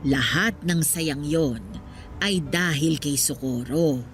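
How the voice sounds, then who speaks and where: A woman's voice: low-pitched, slow. An actress playing an elderly mother in a Tagalog radio drama, reminiscing and advising, poor but contented and loving. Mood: contented, tender. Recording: music behind the voice. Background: background music.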